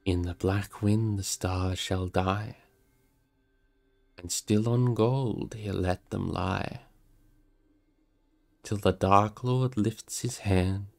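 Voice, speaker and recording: A man's voice: deep voice, steady tone, drawn-out words, vocal fry, pauses often, darkish tone, full character voice. A man voicing a cold, dreary barrow spirit chanting a solemn, grim incantation from a storybook. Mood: grim, menacing.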